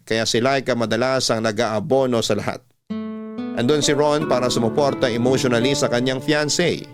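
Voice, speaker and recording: A man narrating a sentimental confessional story in a measured, scripted radio drama style. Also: mid-range voice. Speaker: storyteller host reading a listener's letter.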